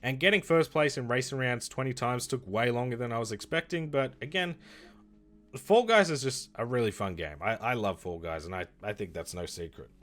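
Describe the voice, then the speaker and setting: A man streaming and chatting casually about games, rambling and correcting himself, with his voice going hoarse. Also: mid-range voice, a little fast, soft background music. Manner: rambling, self-correcting, lively. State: losing his voice. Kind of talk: casual livestream chat reviewing games.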